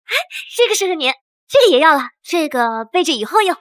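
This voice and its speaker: A high, very animated young princess, cheeky and self-amused. She schemes out loud in comic asides, with the flippant bounce of an animated short drama. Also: fairly quick, big-room sound. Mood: gleeful.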